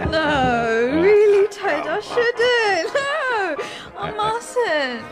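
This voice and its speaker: A woman's voice: very high voice, heavily acted. An actress playing a tipsy, faint young woman in a radio drama, weakly protesting. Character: tipsy, giggly, weakening young woman, resisting coaxing.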